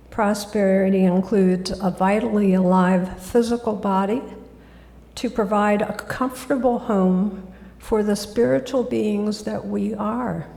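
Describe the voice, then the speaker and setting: Soft-spoken woman in an echoey church. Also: mid-range voice. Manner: gentle and soft-spoken, unhurried. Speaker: guest speaker. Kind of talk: sermon talk. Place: church sanctuary. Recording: echoey hall.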